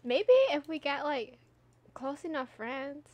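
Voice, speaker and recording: A woman's voice: high voice, fairly even intonation, slow pace, no room sound. A young woman streaming a game, chatting casually and animatedly with her viewers, laughing often and trailing off into filler words. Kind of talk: casual gameplay chat with chat readers.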